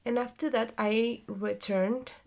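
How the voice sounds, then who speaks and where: Young woman, twenties, Spanish accent, slow and halting with plenty of fillers, a gravelly fry in the voice; casual vlog delivery. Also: on the high side, steady tone, darkish tone. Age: 20s. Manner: hesitant, many fillers and restarts, searching for words. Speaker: vlogger and theater actress. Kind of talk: casual talking-to-camera vlog.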